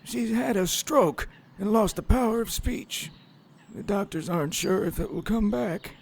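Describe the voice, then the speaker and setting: An actor playing a guarded, grumbling older husband in an audio drama, with a folksy, exasperated manner. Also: male voice, high-pitched, measured pace, creaky, a little hiss or hum. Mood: weary, incredulous.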